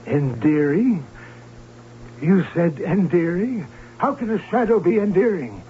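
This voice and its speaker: An actor playing an excitable, commanding Baron in a radio drama, blustering and theatrical in his delivery. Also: high-pitched, unhurried. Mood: incredulous. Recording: dull old radio broadcast with faint hum.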